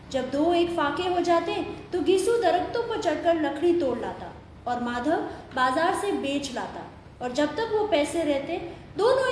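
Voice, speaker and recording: A woman narrator reading a scripted story for an audio play. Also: high-pitched. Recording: very echoey room, faint background noise.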